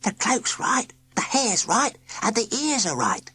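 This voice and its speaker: A reader voicing an uneasy hired thug who speaks in a low, muttering whisper. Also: male voice, very animated, a little slow, sharp tone, husky, full character voice.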